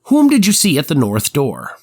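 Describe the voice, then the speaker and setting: A man's voice: a little high, huge pitch swings, normal speed, darkish tone. A man playing an 1890s prosecuting attorney, putting precise, formal, methodical questions to a witness in a dramatized trial reading. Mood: probing, formal. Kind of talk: courtroom transcript dramatized reading.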